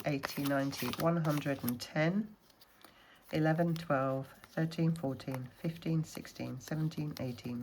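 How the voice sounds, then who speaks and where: A woman with a low voice talks through her savings challenges in a relaxed, chatty way, counting coins and notes aloud as she goes. She sounds a little snuffly from a cold. A faint hiss of noise sits under the recording. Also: low-quality recording. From Scottish Highlands. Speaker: budgeting vlogger.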